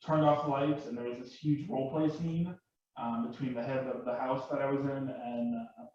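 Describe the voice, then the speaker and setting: A man shares personal stories casually and haltingly, often doubling back on his words. His mid-pitched voice is distant from the microphone. The room echoes heavily around him. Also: steady tone, a little mellow, lo-fi sound. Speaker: live action roleplay enthusiast, panel guest.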